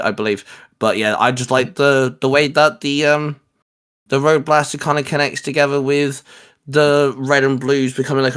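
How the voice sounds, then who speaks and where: A man's voice: medium pitch, fairly bright tone, clean tone. Man chatting casually as a fan show co-host. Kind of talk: casual geek chat, ranking discussion.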